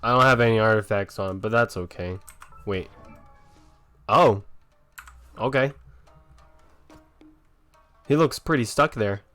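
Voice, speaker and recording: A man's voice: lowish voice, slow pace, pauses often, fairly bright tone, clean tone. A man giving casual, slangy commentary while playing a video game. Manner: slangy, offhand. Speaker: gamer doing a playthrough. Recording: faint game music and keyboard clatter. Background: game audio, keyboard typing.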